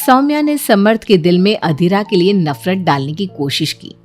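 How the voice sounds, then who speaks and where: A woman's voice: medium pitch, big pitch swings, moderate pace, darkish tone, soft background music. A woman storyteller reading scripted narration for a moral bedtime story.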